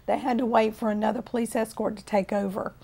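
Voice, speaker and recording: Raspy, gravelly woman in her fifties. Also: a little high, steady tone, warm tone. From Florida, USA. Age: 50s. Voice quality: hoarse, raspy voice. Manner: unhurried, plainspoken storytelling. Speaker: testimony speaker. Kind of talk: personal life story.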